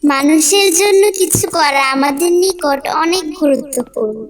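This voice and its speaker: A woman's voice: sits high, moderate pace, far from the mic, slight background noise, lightly acted. A woman voicing a tiny, earnest schoolgirl in a cartoon, with a preachy manner. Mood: earnest concern. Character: tiny earnest schoolgirl, indignant about litter. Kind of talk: cartoon dialogue.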